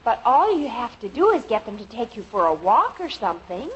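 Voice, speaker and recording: Bossy teen sister, high and lively, really noisy old radio. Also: female voice, a little fast, lo-fi sound. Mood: persuading. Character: bossy, persuasive teenage big sister. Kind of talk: 1950s radio sitcom acting.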